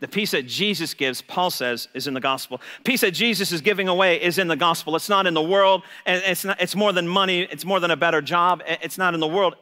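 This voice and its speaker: An American man preaches in a fairly high, bright and forceful voice. He is a pastor delivering a sermon, leaning on key words and repeating phrases for emphasis. The sound rings with the long reverb of a hall. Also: fairly quick, hardly any pauses.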